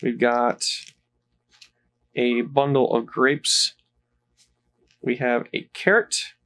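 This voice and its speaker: Relaxed man talking through a toy unboxing. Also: mid-range voice, very slow. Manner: relaxed, rambling, with fillers. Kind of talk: casual unboxing commentary.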